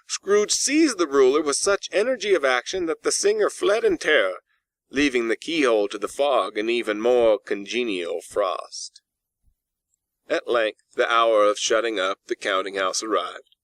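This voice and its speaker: A man narrating a classic story as a scripted audiobook, with expressive storytelling delivery. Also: medium pitch, no echo.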